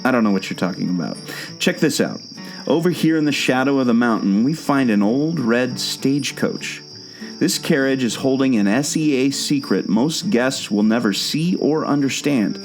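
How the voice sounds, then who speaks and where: A man's voice: medium pitch, animated, normal speed, faint music behind, lightly acted. A man playing a knowing, patient guide who tells park legends in a scripted audio drama. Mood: knowing, mysterious. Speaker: storytelling guide.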